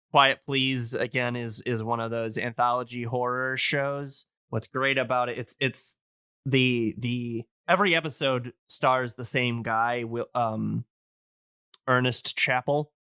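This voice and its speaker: A man in casual podcast conversation, enthusiastic as he recommends things, often halting to search for words. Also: medium pitch, bright, forceful tone, clean tone, dry recording, clean studio sound.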